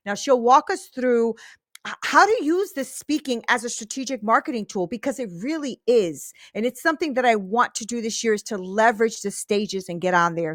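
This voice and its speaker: A woman's voice: on the high side, fairly bright tone, no echo, studio quality. A woman hosting an interview podcast, upbeat and enthusiastic, talking to her listeners as y'all. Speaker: podcast host. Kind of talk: lively interview chat.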